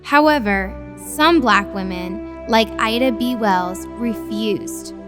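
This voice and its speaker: A woman hosting and narrating scripted history for a young audience in a clear educational style. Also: mid-range voice, slow pace. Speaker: host and narrator. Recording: prominent music behind.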